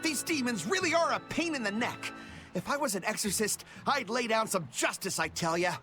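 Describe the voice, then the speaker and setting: An excitable young pirate crewman with an extremely high voice that swings widely. He is folksy and exclamatory, in video game style, with faint music behind him. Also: normal speed. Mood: grumbling.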